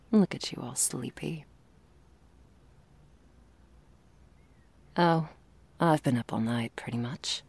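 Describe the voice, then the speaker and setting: A woman playing an affectionate, wry girlfriend in an intimate ASMR roleplay, talking softly and teasingly to her sleepy partner. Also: very deep voice, vocal fry, husky, heavily acted. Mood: sleepy, tender. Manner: soft, teasing, drifting between whispers and playful chatter.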